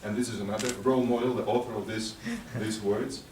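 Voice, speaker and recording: A man speaking to a youth conference, encouraging and halting as he gives a motivational talk and questions the audience. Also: on the low side, steady tone, a little mellow, far from the mic. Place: large echoing hall at a conference ceremony. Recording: echoey hall from afar, background noise.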